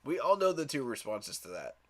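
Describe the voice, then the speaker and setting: A man's voice: medium pitch, very animated, fairly quick, fairly bright tone. A man hosting a live sports fan stream, talking straight to his chat in an emphatic, pleading way and chuckling at rival fans.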